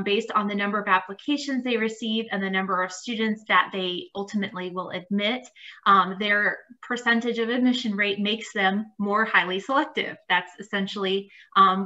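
A woman's voice: mid-range voice, sharp tone. A middle-aged woman, an admissions director, presenting in a webinar over a video call, explaining things with frequent ums. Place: home room on a video call. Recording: dull lo-fi video call audio.

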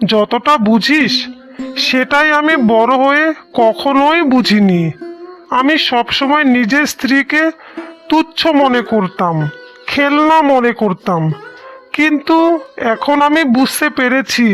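A remorseful cartoon husband voiced by a woman speaks in a high voice. He apologises and pleads very slowly, with sentimental melodrama and music underneath. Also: fairly bright tone. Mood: humbled. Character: remorseful husband and elder brother, humbled, sentimental. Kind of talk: slow melodramatic cartoon dialogue.

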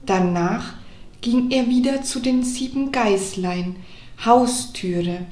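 A woman narrating a scripted children's fairy tale deliberately, pausing between phrases. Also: on the high side, darkish tone, roomy sound. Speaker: storyteller.

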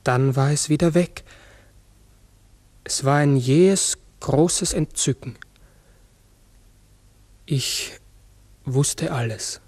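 An actor playing a large talking raven in a 1960s radio drama, brooding, uncertain and often correcting himself. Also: male voice, on the high side, a little mellow, slightly rough, no echo, full character voice. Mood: hushed wonder. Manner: halting, brooding, self-correcting. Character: human-sized talking raven, pensive, uncertain, forgetful.

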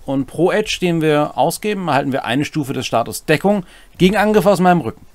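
Playful man with lively, swinging intonation. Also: a little high, a little fast. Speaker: co-host explaining game rules. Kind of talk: casual rules walkthrough with joking asides. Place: small home room, indoors.

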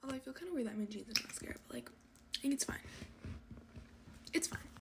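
A teenage girl chatting casually while doing her makeup, talking in a soft half-whisper and filling her sentences with "like", recorded on a phone at arm's length in her bedroom. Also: sits high, lively intonation, fast talker, slight background noise. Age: teens. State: losing her voice from coughing. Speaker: vlogger. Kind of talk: casual get-ready-with-me chat. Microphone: phone camera at arm's length.

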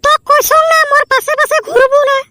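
A woman voicing a vain, sharp-tongued village girl in a comic cartoon, with a squeaky pitch-shifted voice and a Rangpuri accent. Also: level tone, fairly quick, dry recording, studio quality. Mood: scornful. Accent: northern Bengal Rangpuri. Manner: mocking, dismissive.

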